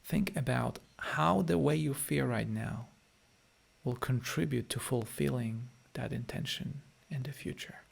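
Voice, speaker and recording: A man's voice: mid-range voice, measured pace, gravelly, breathy edge. A man with a Polish accent, a meditation teacher leading a guided meditation and a live-stream chat, speaking to listeners in short, direct phrases.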